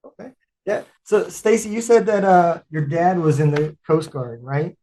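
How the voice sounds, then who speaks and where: A man hosting a podcast, asking questions in a relaxed, chatty way with many fillers. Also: fairly high pitch, normal speed, slight background noise. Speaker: podcast host. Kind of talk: casual interview chat.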